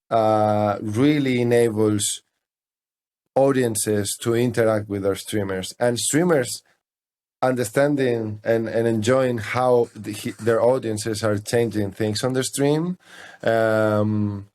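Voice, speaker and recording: Halting man with lowish voice. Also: a little mellow. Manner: halting, repeats words while searching for phrasing. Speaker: company CEO, interview guest. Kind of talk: conversational interview answers.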